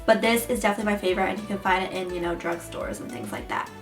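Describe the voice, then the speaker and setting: A young woman, a little hoarse, chatting her way through a hair tutorial with lots of ums and likes. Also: mid-range voice, fairly even intonation, rapid-fire, soft background music. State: hoarse, getting her voice back. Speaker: beauty vlogger. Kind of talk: casual hair tutorial chat. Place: room at home beside a window. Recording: echoey room, mic a bit far.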